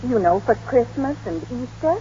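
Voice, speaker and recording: A woman's voice: fairly high pitch, lively intonation, normal speed, warm tone, lightly acted. An actress playing a motherly, chatty, folksy old small-town housekeeper in a 1930s radio drama. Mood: chatty, proud. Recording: dull, noisy old radio recording.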